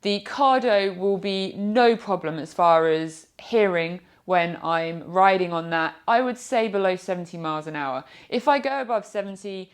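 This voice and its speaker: A woman's voice: medium pitch, animated, moderate pace, hardly any pauses, clear, resonant tone. A woman with a British accent giving an informal product review in a chatty, explanatory way. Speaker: product reviewer and motorcycle vlogger.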